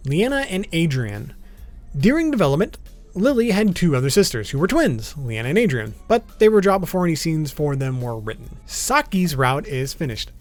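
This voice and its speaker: A man hosts an explainer video in a fairly high voice that moves up and down a great deal. His delivery is informal and matter-of-fact. Music plays behind his narration. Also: very animated, normal speed. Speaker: video host. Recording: background music under voice.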